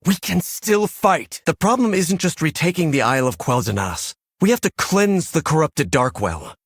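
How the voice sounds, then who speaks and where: An actor playing a determined young elf noble in a video game, earnest, formal and impassioned. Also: male voice, a little high, very animated, normal speed, dry recording, studio quality. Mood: rallying resolve. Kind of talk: dramatic video game voice acting.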